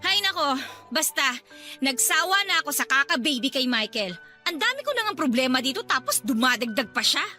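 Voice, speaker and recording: A woman's voice: sits high, sing-song, fairly quick, pushed and bright, soft background music, exaggerated voice. A woman playing a stressed, irritable young medical student and older sister in a radio drama, complaining emphatically. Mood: fed up. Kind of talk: radio drama dialogue in Filipino.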